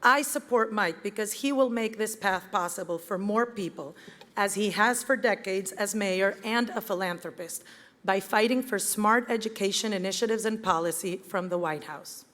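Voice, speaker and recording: A woman, giving a prepared campaign speech in an earnest, measured way. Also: medium pitch, a bit bright. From Venezuela. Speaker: campaign event speaker. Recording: reverberant hall with crowd.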